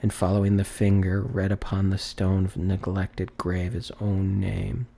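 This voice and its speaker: A man narrating a classic story in a solemn, measured, theatrical style. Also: sits low, level tone, gravelly, warm tone. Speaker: audiobook narrator.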